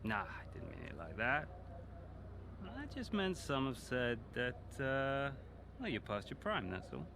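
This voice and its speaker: An actor playing a smug, needling rival racing driver in a video game, falsely matey and mocking, with a drawling delivery. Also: male voice, medium pitch, huge pitch swings, unhurried, noisy background. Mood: feigned innocence. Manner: mocking, drawling, faux-innocent. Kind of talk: animated video game cutscene acting.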